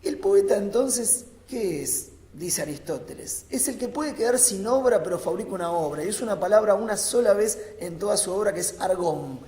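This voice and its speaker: Echoey hall, long reverb, man, academic panel talk, emphatic deliberate phrasing. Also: high-pitched, lively intonation, mellow. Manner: emphatic, deliberate phrasing in short bursts with pauses. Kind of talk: improvised philosophical lecture at a panel. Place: large reverberant conference room.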